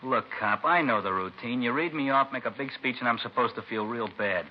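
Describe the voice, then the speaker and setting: Man playing cocky, fast-talking teenage delinquent. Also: mid-range voice, close to an everyday voice. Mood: defiant, flippant. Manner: smart-mouthed, sarcastic, unrepentant. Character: cocky, hard-boiled sixteen-year-old delinquent boy. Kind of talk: 1950s radio crime drama acting. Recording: dull, noisy vintage radio recording.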